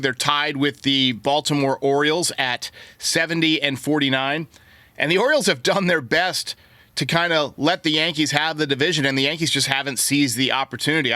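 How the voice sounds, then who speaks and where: A man's voice: fairly high pitch, bright, forceful tone, no room sound. A man hosting a sports show, giving conversational baseball commentary to his listeners. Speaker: sports podcast host.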